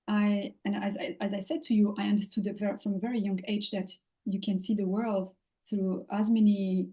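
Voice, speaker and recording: Halting woman, mellow voice. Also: mid-range voice, rough recording. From France. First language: French. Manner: thoughtful, halting, many fillers and restarts. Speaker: podcast guest, leadership coach. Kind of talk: interview conversation.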